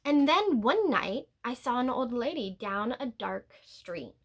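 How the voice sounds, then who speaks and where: Slow, expressive woman reading a storybook aloud. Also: on the high side. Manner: deliberate, expressive storytelling with drawn-out words and pauses. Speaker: read-aloud teacher. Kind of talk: picture-book read-aloud, scripted narration with brief asides.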